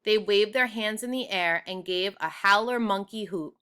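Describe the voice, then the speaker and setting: Bright-voiced woman reading a children's storybook. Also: medium pitch, moderate pace, clean tone. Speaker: read-aloud narrator for children. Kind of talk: expressive children's storybook read-aloud.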